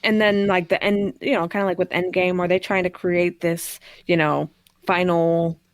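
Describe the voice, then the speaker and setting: A woman's voice: fairly low pitch, level tone, normal speed, no room sound, clean studio sound. A woman co-hosting a podcast, chatting casually about films in a tentative, conversational manner. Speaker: podcast co-host.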